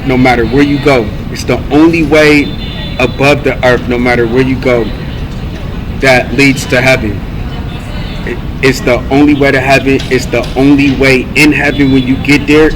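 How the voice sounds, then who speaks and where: A man from California in a rambling monologue, halting with many fillers, repeating phrases and listing things one by one. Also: mid-range voice. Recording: steady background noise.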